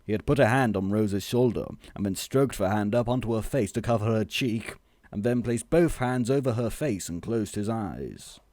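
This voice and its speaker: A man reading a scripted comic story as narrator, measured and deadpan. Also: fairly low pitch, dry recording, clean studio sound.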